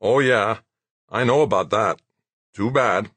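A man's voice: on the low side, slow, fairly bright tone, slightly rough, no room sound, pitched above the performer's own voice, exaggerated voice. A man voicing an easygoing, matter-of-fact male engineer in an audiobook, casual and mildly sympathetic. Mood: teasing, resigned. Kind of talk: acted audiobook dialogue.

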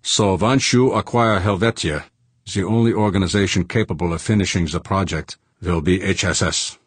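A man acts out a dogged audiobook character, a formal businessman who will not let go. The voice is low and husky, deliberate and insistent, in a very dry recording. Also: dry recording.

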